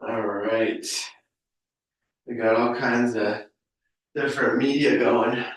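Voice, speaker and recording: A man's voice: medium pitch, fairly even intonation. A man hosting a podcast interview in a casual, easygoing way. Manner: easygoing, unhurried. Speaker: podcast host. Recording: echoey room, distant mic.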